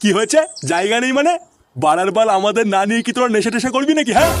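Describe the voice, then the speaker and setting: Man voicing a high-pitched, bossy cartoon father. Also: animated, racing, background noise. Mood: indignant, bossy. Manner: scolding, domineering, crude. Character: bossy, foul-mouthed, scheming father of a grown son. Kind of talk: comic cartoon dialogue.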